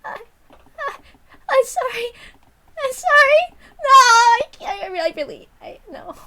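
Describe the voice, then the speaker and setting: A woman's voice: very high voice, sing-song, a little slow, pushed and bright, voice pushed up, heavily acted. A woman voicing a terrified, hysterical schoolgirl who stammers and pleads in a dramatic reading of a visual novel. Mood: trembling, apologetic.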